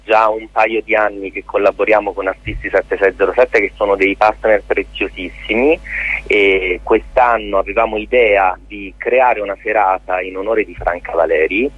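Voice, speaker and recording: A man speaking as a guest in an informal radio interview, explaining as the organiser of a theatre festival, with a husky voice. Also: lowish voice, steady tone, moderate pace, lo-fi sound.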